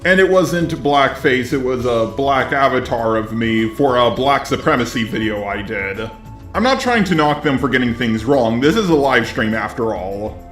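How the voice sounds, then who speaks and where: A man's voice: mid-range voice, lively intonation, normal speed, a bit bright. Echoey synthetic robot man. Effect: text-to-speech robot voice. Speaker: YouTube commentator responding to criticism. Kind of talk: commentary. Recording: echoey, faint music behind.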